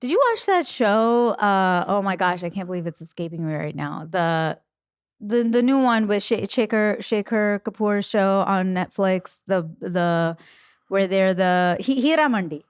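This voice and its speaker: A woman's voice: mid-range voice, expressive, a little slow, clear, resonant tone, no echo, studio quality. Chatty young woman podcast host. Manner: chatty, candid, full of fillers and asides. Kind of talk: casual interview chat.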